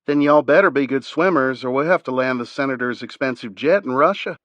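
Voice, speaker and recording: A man's voice: medium pitch, fairly quick, fairly bright tone, dry recording, highs cut off, voice pushed up, close to an everyday voice. A man reading aloud, voicing a confident female ex-Army pilot with a Southern drawl. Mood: joking. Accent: Southern US (Alabama).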